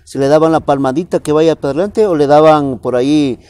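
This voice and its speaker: A middle-aged man interviewing a former footballer, asking questions and giving short prompting replies, seated outdoors on a football pitch. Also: a little fast, darkish tone, smooth, dry recording. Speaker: sports interviewer.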